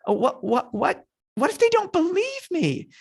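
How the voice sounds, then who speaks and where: A storyteller voicing a nervous, stammering man who eagerly agrees, then hesitantly backtracks in animated oral storytelling. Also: medium pitch, measured pace. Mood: nervous stalling. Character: nervous, stammering Moses, stalling with endless small questions.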